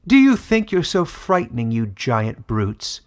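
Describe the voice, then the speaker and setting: A man's voice: mid-range voice, wildly swooping pitch, measured pace. A man voicing a cruel, mocking woman ruler in a fantasy audiobook, haughty and theatrical in delivery. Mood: scoffing. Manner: scornful, sneering, theatrical. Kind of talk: dramatic fantasy audiobook character reading.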